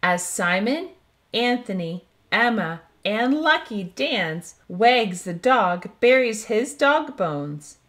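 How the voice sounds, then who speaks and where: A woman hosting a children's storytime, reading a picture book aloud in an expressive, deliberate way. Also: mid-range voice. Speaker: host of children's read-aloud show.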